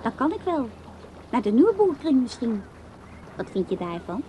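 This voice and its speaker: A woman's voice: fairly high pitch, big pitch swings, normal speed, warm tone, slight background noise. A woman acting in a radio play as a blunt, bored young woman, curt and offhand with her older lover. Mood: coaxing. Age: young adult. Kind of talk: naturalistic radio play dialogue.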